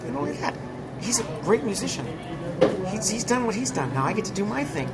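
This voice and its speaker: A man's voice: sits high, very animated, fast talker, creaky, loud music behind the voice. A man with an American accent, a touring musician chatting candidly in an interview and laughing often. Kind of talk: casual interview chat.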